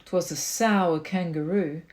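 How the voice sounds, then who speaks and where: A woman reading a children's picture book aloud in an expressive storytime style. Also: lowish voice, takes it slowly. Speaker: storytime reader.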